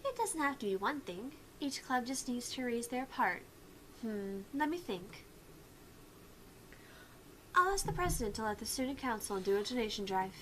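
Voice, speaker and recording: A woman's voice: a little high, animated, normal speed, pauses often, a bit bright, rough recording. A woman voicing a young student council vice president in a visual novel, bossy yet apologetic and a little hesitant. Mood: organising, hesitant. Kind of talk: acted visual novel dialogue. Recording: clear background noise.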